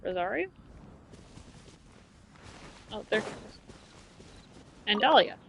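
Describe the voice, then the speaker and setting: A woman's voice: medium pitch, animated, takes it slowly, gravelly, a bit bright, a little hiss or hum. A woman streaming a game, giving casual, blunt commentary as if muttering to herself. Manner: offhand, blunt, muttering to self. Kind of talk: casual live gameplay commentary.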